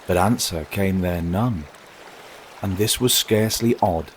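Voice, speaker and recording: A man reading narrative verse aloud for children as a storyteller, expressive, measured and dramatic. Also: sits low, slight background noise.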